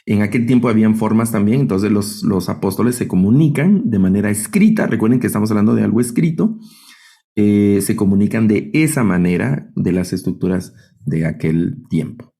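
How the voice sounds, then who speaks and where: A man's voice: on the low side, expressive, moderate pace, creaky, mellow, breathy edge. A man with a Guatemalan accent teaching an online Bible class as a professor, explaining didactically and often checking in with short tag questions.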